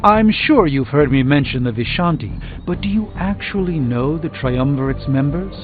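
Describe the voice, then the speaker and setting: A man's voice: fairly high pitch, animated, moderate pace, natural voice. Man playing an erudite, theatrical sorcerer hero over music. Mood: thoughtful, praising. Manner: formal, wordy, theatrical, dryly ironic. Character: erudite, dryly witty adult male sorcerer superhero. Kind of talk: video game voice acting. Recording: dull game audio under music.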